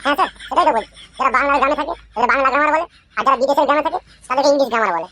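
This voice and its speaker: A woman's voice: high-pitched, racing, pushed and bright. A woman voicing a cheeky, cocky schoolboy in a comic cartoon. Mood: cheerfully confident. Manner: cheeky, insistent. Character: cheeky, cocky schoolboy prankster with absurd answers.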